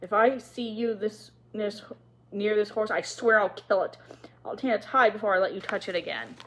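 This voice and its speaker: Storybook villain: cruel, scornful man who snarls and shouts threats, acted out by a woman reader. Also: medium pitch, moderate pace, a little hiss or hum, lightly acted. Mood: snarling, threatening.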